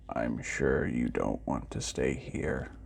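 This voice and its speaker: A man voicing a gentle, sad old robot in a storybook, speaking slowly and softly. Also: very deep voice, vocal fry, breathy edge, pitched below the performer's own voice, exaggerated voice. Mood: downcast. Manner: slow, gentle, downcast.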